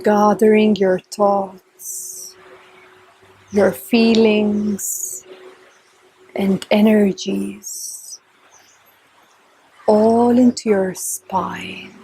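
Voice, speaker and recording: A woman leading a guided meditation in a calm, gentle, soft and breathy voice, with long unhurried pauses between short phrases. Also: mid-range voice, a little hiss or hum, rough recording. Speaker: meditation teacher. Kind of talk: guided meditation, slow and instructional.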